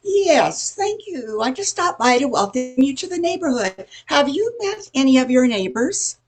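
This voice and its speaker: A woman's voice: high-pitched, big pitch swings, moderate pace, faint music behind. An older woman playing a nosy middle-aged gossip in an amateur radio play, chatty and insinuating. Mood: bright, pushy.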